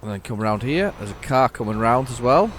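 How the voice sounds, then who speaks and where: A man's voice: mid-range voice, animated, brisk, slight background noise. A man giving casual, rambling gameplay commentary, often correcting himself. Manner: rambling, self-correcting, chatty. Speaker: gaming channel host.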